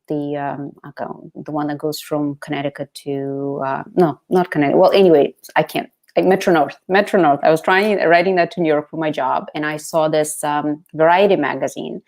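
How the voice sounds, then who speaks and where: A middle-aged woman from the Soviet Union whose first language is Russian, telling stories as an interview guest in a chatty, animated way with many restarts. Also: low voice. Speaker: author guest. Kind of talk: casual interview storytelling.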